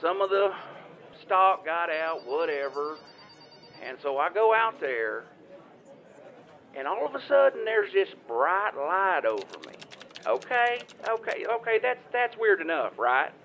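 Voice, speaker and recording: A man's voice: high-pitched, sing-song, a bit bright, exaggerated voice. A man playing a devout, flustered farmer with a Southern US accent, stammering and rambling through a phone call in an improvised comedic audio drama. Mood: agitated, earnest. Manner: rambling, stammering, halting, folksy. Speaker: caller.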